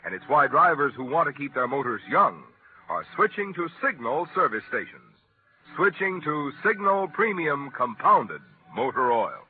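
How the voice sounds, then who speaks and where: Male announcer, 1940s radio style, fairly high pitch, lively swings, narrow vintage broadcast sound. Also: normal speed.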